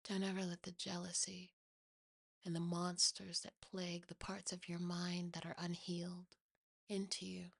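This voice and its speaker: Devoted boyfriend for horror audio, read by a woman: soft, gentle, reassuring, breathy and close. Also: on the low side, completely flat delivery, moderate pace, dry recording, exaggerated voice. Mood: tender reassurance.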